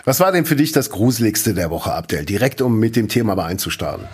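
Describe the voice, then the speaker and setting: A man co-hosting a podcast in casual chat, a dry, deadpan storyteller who now and then breaks into shrill mock rants. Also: fast, background noise. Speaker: podcast co-host.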